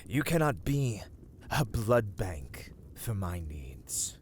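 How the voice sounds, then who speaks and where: A man playing a brooding, self-loathing vampire in a dramatic ASMR roleplay, speaking in a formal, archaic, hushed and breathy manner. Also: fairly low pitch, very animated, takes it slowly, slight background noise. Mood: grim, bitter. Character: brooding, self-loathing male vampire, menacing yet conflicted.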